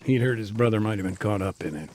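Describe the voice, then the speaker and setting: An actor playing a thoughtful aid worker in a war zone, talking on the phone from a car in a relaxed, hesitant way. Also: male voice, lowish voice, quick pace, slight background noise, natural voice. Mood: calm. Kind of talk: video game cutscene dialogue. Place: moving vehicle. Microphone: phone call.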